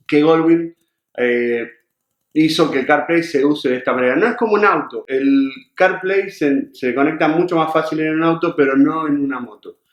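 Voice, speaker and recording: Higher-pitched man, casual how-to vlogger. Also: echoey room. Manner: repeats words for emphasis. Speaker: YouTube host of a motorcycle channel. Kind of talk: casual vlog talk and how-to explanation.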